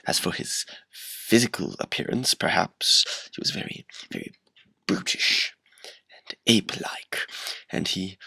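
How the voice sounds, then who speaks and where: An earnest witness calling in played by a man in an amateur radio play. He speaks in a hushed, halting way with a creaky edge, close and dry, as he makes his accusation. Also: sits low, wildly swooping pitch, no echo, exaggerated voice. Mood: hesitant. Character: hesitant male witness phoning in, earnestly accusing.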